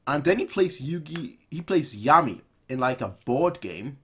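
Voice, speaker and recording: A man in his twenties giving casual, off-the-cuff reaction commentary in an animated, rambling way, repeating phrases for emphasis. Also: on the high side, unhurried, breathy edge. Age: 20s. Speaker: reaction video host. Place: small room at home, indoors.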